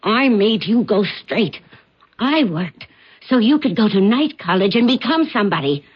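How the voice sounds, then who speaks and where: An actress in a radio drama playing a possessive wife who mocks her husband in sugary, drawn-out words. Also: medium pitch, animated, breathy edge. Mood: firm, possessive. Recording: dull vintage radio drama recording.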